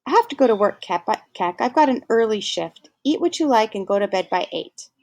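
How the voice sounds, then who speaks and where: A woman reading as a weary, gentle aunt. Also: on the high side, expressive. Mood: matter-of-fact. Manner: slow, reflective reading of dialogue. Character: weary, soft-spoken aunt, gentle and tired.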